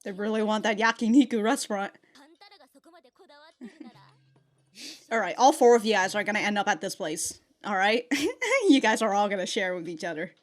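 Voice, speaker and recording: A woman giving casual, slangy reaction commentary as the host of a video, in an animated, informal way. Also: mid-range voice, moderate pace, pauses often.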